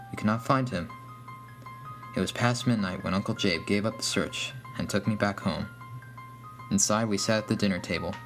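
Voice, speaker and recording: A man reading a scripted first-person horror story as its narrator. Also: on the low side.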